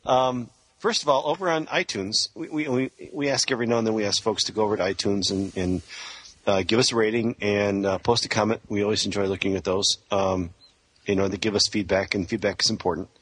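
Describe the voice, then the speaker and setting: A man's voice: on the low side, a little fast, fairly bright tone, slightly rough. A man co-hosting a podcast, chatting in an easygoing way and laughing along. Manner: easygoing, laughs along, reads listener feedback. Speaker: podcast co-host. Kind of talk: casual podcast chat.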